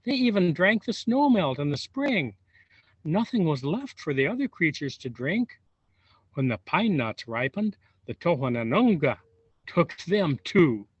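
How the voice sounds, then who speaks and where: A man reading a storybook aloud to young children, expressive and unhurried, pausing for wondering asides. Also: on the high side, breathy edge. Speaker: volunteer storybook reader. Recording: roomy echo, dull lo-fi sound.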